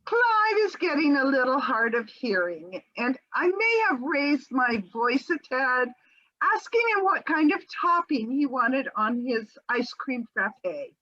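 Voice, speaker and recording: Woman playing a flighty housewife, high voice, wavering and giggly, slow unhurried lines, lo-fi call audio. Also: sing-song, sharp tone, exaggerated voice. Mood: airy. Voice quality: quavering, giggly delivery. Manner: chattery and airily evasive. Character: flighty, evasive middle-aged housewife, breezily unconcerned. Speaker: reader in an amateur readers theater performance. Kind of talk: comic readers theater scene read over a video call. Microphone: home video call mic.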